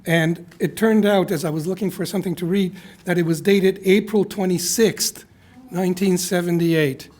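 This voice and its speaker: A man with a somewhat high-pitched voice reads at a live poetry event. He speaks in a conversational, wry manner, moving easily between informal storytelling and verse. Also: steady tone, moderate pace, darkish tone. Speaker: poet reading at a reunion event.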